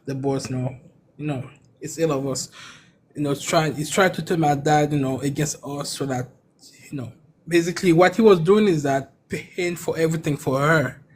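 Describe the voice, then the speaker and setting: Halting Haitian-accented man, slightly high voice. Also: darkish tone, a little hiss or hum. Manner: halting, stammering repetitions. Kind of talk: personal story in casual live chat.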